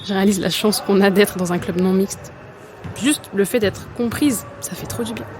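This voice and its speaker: A woman's voice: mid-range voice, normal speed, music in the background, close to an everyday voice. A woman playing an embattled, doubtful young athlete in a French audio drama, earnest and colloquial. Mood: weary, candid. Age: young adult. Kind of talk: naturalistic French audio fiction acting.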